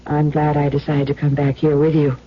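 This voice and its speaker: An actress playing a worried, practical wife in an old-time radio drama, earnestly pressing with questions. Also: female voice, sits low, monotone, fairly quick, noisy background, lightly acted. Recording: muffled old radio recording.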